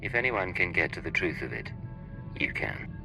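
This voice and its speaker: A man playing a cool, confident, smooth-talking secret agent in a video game. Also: deep voice, sing-song, moderate pace, over music, exaggerated voice, rough recording. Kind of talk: scripted video game acting.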